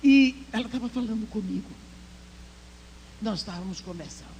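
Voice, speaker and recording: A woman's voice: medium pitch, moderate pace, slight background noise. Impassioned woman preaching a sermon. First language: English. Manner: impassioned, swinging between loud proclaiming and hushed storytelling. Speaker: women's conference speaker. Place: church.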